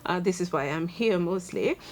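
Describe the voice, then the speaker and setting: A Sri Lankan woman in her forties with a Sri Lankan accent, a lawyer answering interview questions earnestly and thoughtfully, pausing on many fillers. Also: lowish voice. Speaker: guest, human rights lawyer and advocate.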